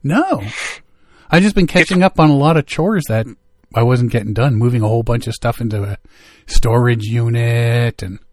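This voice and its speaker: A man hosting a radio show, joking and teasing his co-host in casual banter, quick to laugh. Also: medium pitch, dry recording, clean studio sound. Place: studio.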